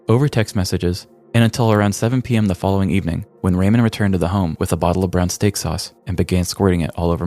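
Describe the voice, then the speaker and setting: A man's voice: sits low, mellow. A male podcast host narrating a scripted, comedic true-crime story.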